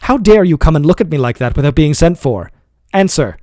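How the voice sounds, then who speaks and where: A man's voice: lively intonation, fairly quick, no echo, studio quality, lightly acted. A man doing a furious, shrieking master. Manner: shouting, shrill outbursts. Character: enraged, humiliated middle-aged man shouting at his servant.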